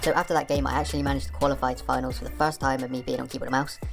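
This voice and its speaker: Very low-voiced woman, rapid-fire gaming narration. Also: little pitch movement, creaky, loud music behind the voice. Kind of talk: scripted voice-over narration.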